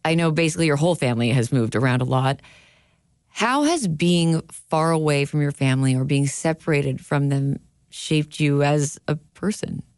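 Low-voiced woman radio host. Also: moderate pace, a little mellow, dry recording, clean studio sound. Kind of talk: broadcast interview.

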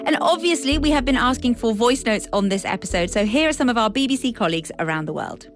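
A woman presenting a podcast, reading scripted lines in a clear, measured way. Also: fairly high pitch, animated. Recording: music playing underneath. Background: music bed.

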